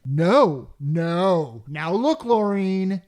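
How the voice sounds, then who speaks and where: A woman's voice: fairly low pitch, animated, drawn-out words, darkish tone. Woman playing a flustered, stammering henpecked husband. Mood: bashful panic. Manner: stammering, hesitant. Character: flustered, henpecked married repairman Frank, a nervous everyman. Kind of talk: radio comedy acting.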